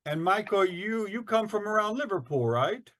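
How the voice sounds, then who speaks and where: A man's voice: high voice, animated, normal speed, a bit bright. A man moderating a panel discussion who keeps asking short, curious questions. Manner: inquisitive, asks short questions. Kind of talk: casual discussion.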